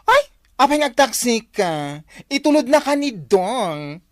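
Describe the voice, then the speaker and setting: A woman's voice: on the high side, big pitch swings, unhurried, no room sound, clean studio sound. A woman playing a busy office boss handing out errands in an Ilocano radio drama, with a Filipino Ilocano accent. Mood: coaxing.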